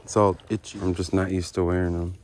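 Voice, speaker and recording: A man's voice: low-pitched, fast, background noise. A young man filming himself fishing at a river, chatting casually to a body-worn camera. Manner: casual, talks to the camera while fishing, gets excited at fish. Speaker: fishing vlogger. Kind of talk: casual outdoor vlog narration. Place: outdoors by a river. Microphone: action camera worn on the body.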